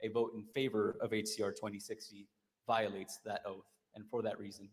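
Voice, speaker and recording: A man who is a state legislator, giving a formal, deliberate floor speech to explain his vote. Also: lowish voice, level tone. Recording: long reverb in a legislative chamber.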